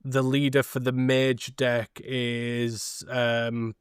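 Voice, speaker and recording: A man co-hosting a podcast, talking casually about film and often pausing to search for his words. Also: mid-range voice, level tone, clean tone, no echo, clean studio sound. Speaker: podcast host.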